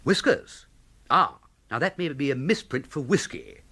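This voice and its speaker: An actor playing a breezy, idle upper-class English young gentleman in a comic period radio drama, plummy and exclamatory. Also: mid-range voice, animated, unhurried, breathy edge, exaggerated voice. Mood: puzzled.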